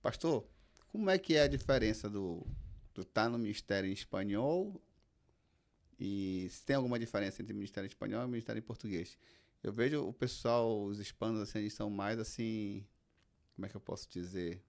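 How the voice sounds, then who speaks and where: A man's voice: fairly low pitch, fairly quick, vocal fry, a little mellow. A man with a Brazilian accent, hosting a podcast as a casual, conversational chat. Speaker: podcast host.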